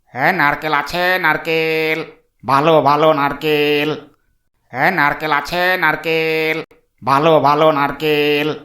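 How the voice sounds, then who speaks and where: A man's voice: a little high, level tone, slow pace, pushed and bright. A man voicing a street coconut seller in a cartoon horror tale, calling out his wares in a repetitive sing-song cry. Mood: hawking. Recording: roomy echo, faint music behind.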